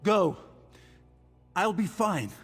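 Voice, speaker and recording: An excitable, loyal adventurer in a fantasy video game. His voice sits noticeably high for a man. It rings in a long reverb, with prominent music behind it. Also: drawn-out words, full character voice. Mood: eager, urgent. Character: male amulet-wearing ally, alarmed but helpful conspirator.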